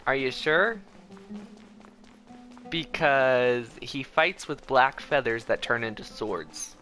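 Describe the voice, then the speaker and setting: A man's voice: medium pitch, animated, slow, sharp tone, faint music behind. A man streaming a game with casual, informal, rambling commentary for his viewers. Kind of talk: casual livestream commentary over gameplay.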